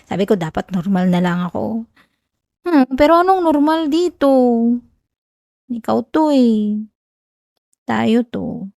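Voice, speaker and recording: Casting: woman to play shy new girlfriend, Filipino accent, ASMR roleplay. Soft, warm tone, unhurried delivery, intimate and playfully flustered. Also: on the high side, lively intonation, creaky, no room sound, studio quality, exaggerated voice. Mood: nervous, affectionate. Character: shy, flustered young woman teasing her new boyfriend. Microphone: close, dry ASMR microphone.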